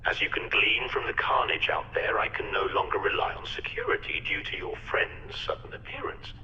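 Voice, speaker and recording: A man playing an eccentric, sinister chief surgeon in a video game, speaking with florid, mocking courtesy in a breathy half-whisper. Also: low voice, lively intonation, moderate pace, pushed and bright, muffled recording, a little hiss or hum, full character voice. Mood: coolly calculating. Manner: theatrical, florid, mocking politeness. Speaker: game voice actor. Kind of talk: video game cutscene acting. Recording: echoey intercom in a reverberant hall.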